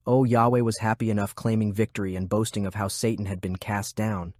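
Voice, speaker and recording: A man reading scripted fiction narration in a measured, deliberate way. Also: fairly low pitch, flat delivery, darkish tone, no echo, clean studio sound. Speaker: audiobook narrator. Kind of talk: scripted narration of a fantasy war novel.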